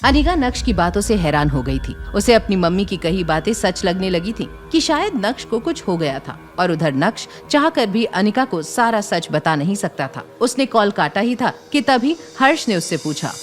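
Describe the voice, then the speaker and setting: Lady narrating audio drama, medium pitch, really expressive, soft music under her. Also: moderate pace, hardly any pauses. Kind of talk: dramatic scripted story narration.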